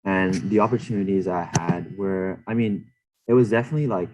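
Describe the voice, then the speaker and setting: Young male student panelist from Hong Kong and Thailand, low voice, informal and halting. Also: noisy background. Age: young adult. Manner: hesitant, filler-heavy with frequent "like". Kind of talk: casual panel answers.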